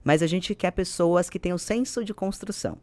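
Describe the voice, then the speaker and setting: A woman's voice: fairly low pitch, normal speed, a little mellow, dry recording. A woman with a Brazilian accent speaking as an executive guest, explaining ideas conversationally and emphatically, with repetition and quoted phrases. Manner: emphatic, builds points with repetition and quoted speech. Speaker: podcast guest, business executive and mentor.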